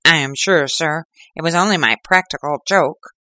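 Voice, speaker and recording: A man's voice: mid-range voice, animated, a little slow, pushed and bright, no echo. A man voicing a crafty, cringing male builder caught out, whimpering his excuses.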